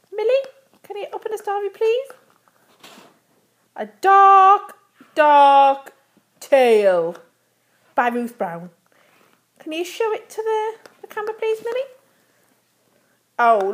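A woman voicing an excitable, silly dog puppet who reads a storybook to a child in a playful, exaggerated way. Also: high voice, heavily acted. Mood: cheerful. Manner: playful, exaggerated, slow dramatic reading to a child. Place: small room at home.

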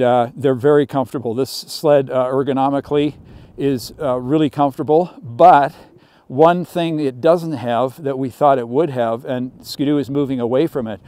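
Middle-aged guy showing off a sled outside in the snow, mellow smooth voice, kinda chatty with lots of uhs. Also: medium pitch, no echo. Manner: casual, explanatory, with frequent fillers. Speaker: snowmobile reviewer. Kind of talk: product walkaround presentation. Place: outdoors in snowy pine woods.

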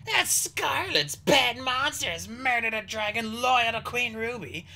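A woman's voice: mid-range voice, very animated, moderate pace, bright, forceful tone, voice pushed up, full character voice. A woman voicing a hostile, suspicious male dragon who snarls accusations in a storybook reading. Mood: scornful.

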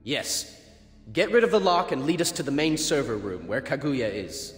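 An actor voicing a proud, hot-headed young fighter in a video game, haughty, theatrical and sarcastic. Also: male voice, mid-range voice, big pitch swings, normal speed, fairly bright tone. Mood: impatient. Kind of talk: dramatic game cutscene acting. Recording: reverberant game audio with music behind. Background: music.

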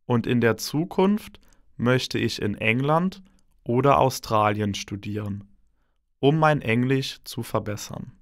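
Male reader, slow and deliberate delivery, dry booth sound. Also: mid-range voice, expressive, dry recording. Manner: slow and carefully enunciated. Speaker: exam recording speaker. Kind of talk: slow scripted exam reading.

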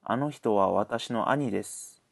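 A man's voice: on the low side, drawn-out words, vocal fry, slightly rough. A man reading short translated sentences from a script as the narrator of a language lesson.

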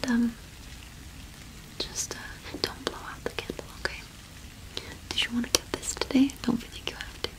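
A woman's voice: on the high side, flat delivery, close to an everyday voice, low-quality recording. Woman playing a whispering young cottage witch shopkeeper. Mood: gentle, helpful. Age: young adult. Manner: unhurried, gently attentive, chatty. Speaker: ASMR roleplay performer. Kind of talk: whispered ASMR roleplay. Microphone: close microphone.

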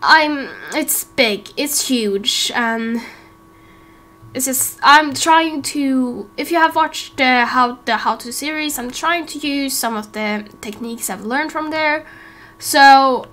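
A woman's voice: high-pitched, slow, sharp tone. A woman chatting casually and unscripted to her viewers as a gaming creator, hesitant, apologetic and tired.

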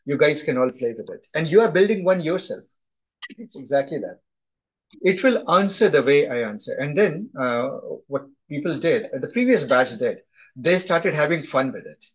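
A man's voice: on the high side, very animated, normal speed, breathy edge, rough recording. A middle-aged man with a thick Indian accent, teaching a live class over a video call, chatty and often asking "right?". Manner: conversational, often tags "right?". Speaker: instructor. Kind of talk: live online lecture.

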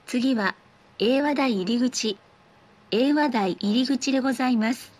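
High-pitched woman making bus announcements. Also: heavy background noise. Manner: polite, formal, clearly measured delivery. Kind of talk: scripted recorded bus announcement.

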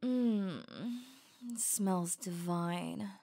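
Slow, sultry werewolf huntress acted by a woman. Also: on the low side, mellow. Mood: savouring, flirtatious. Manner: slow, breathy, intimate, often dropping to whispers. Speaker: audio roleplay voice actor. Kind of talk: ASMR roleplay.

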